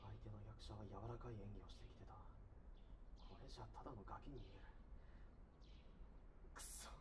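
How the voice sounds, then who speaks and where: A man playing a gruff, blunt, self-critical young male idol in an anime-style voice drama, curt and a little awkward. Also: on the low side, fairly quick, heavy background noise, natural voice. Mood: self-critical muttering.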